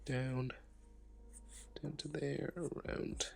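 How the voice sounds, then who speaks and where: Man, congested from a cold, drawing tutorial voice-over, slow, gravelly, quiet mumbling, faint music behind. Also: mid-range voice, little pitch movement. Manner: quiet, mumbling to himself, drifting into whispers. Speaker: art tutor.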